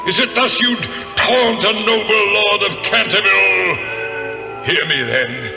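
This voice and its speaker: A man's voice: on the high side, gravelly. An actor playing the menacing, centuries-old ghost of a nobleman in a radio drama, declaiming in grandiose archaic speech. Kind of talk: 1970s radio horror-comedy acting. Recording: echoing reverberant old radio drama, music behind.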